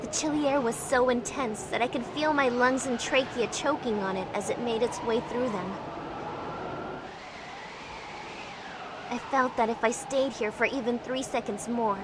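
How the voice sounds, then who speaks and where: Woman acting introspective young game heroine, higher voice. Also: normal speed, few pauses, background noise, natural voice. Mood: tense, dramatic. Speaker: narrating character in a fan dub of a visual novel. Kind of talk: first-person scripted narration. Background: music.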